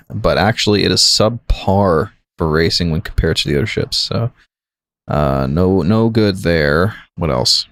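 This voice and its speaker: A man's voice: low voice, no room sound, studio quality. A man hosting a gaming livestream, thinking aloud in an analytical, jokey way with casual commentary. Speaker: livestream gamer, host.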